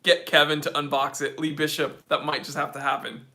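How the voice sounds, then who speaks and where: Bright-voiced laid-back male host. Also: fairly high pitch, level tone, brisk. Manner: laid-back, slangy, reads chat aloud. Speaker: host answering live chat questions. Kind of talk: casual live-stream Q&A.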